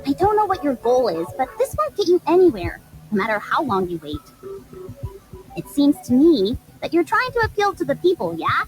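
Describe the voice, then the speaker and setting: A woman's voice: high-pitched, big pitch swings, normal speed, faint music behind, rough recording. A woman voicing a brash young female media hustler in a video game, blunt and sarcastic, with exaggerated delivery. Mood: persuasive. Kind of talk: video game cutscene voice acting.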